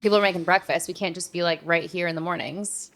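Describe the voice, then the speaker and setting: A woman co-hosting a podcast, chatting in an informal, slangy way and thinking out loud as she talks. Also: lowish voice, steady tone, quick pace. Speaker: podcast co-host. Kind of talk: casual podcast chat.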